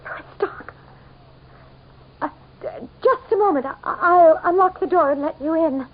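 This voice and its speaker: An actress playing a frightened, haughty society wife in an old-time radio mystery, stammering and melodramatic. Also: female voice, extremely high pitch, a little slow. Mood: flustered, wary. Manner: breathy gasps, stammering, melodramatic. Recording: tinny, noisy old radio drama recording.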